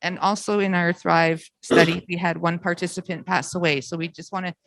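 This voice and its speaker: A woman's voice: lowish voice, steady tone, a little fast, dry recording, rough recording. Woman presenting informally, dry sound. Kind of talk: conference presentation.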